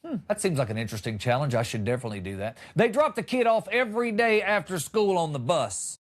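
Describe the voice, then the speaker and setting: Animated higher-pitched man telling comic stories. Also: quick pace. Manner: wry, exaggerated storytelling with emphatic punchlines. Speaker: comedian and podcast host. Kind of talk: comic storytelling monologue.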